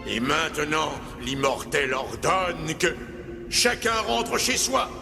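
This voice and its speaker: A man's voice: on the high side, big pitch swings, slow, vocal fry, heavily acted. A man voicing a commanding male character in a dubbed adventure cartoon, speaking in a dramatic, declamatory way. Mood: commanding, theatrical. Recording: echoey cartoon soundtrack, loud music.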